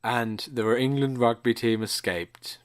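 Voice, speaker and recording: A man's voice: medium pitch, fairly even intonation, unhurried. A man hosting a comedy podcast, moving between casual banter and mock-dramatic storytelling. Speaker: podcast host and radio play narrator.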